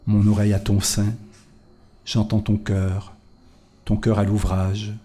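Slow, deep-voiced man reading poetry. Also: completely flat delivery. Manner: measured, solemn, hushed in places. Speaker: poet reading his work.

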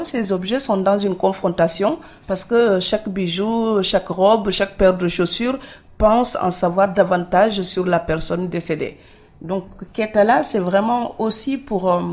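A woman from Senegal, an author being interviewed, telling her stories in a lively way and laughing easily. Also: mid-range voice. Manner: lively storyteller, laughs easily, acts out quoted dialogue. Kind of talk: conversational interview.

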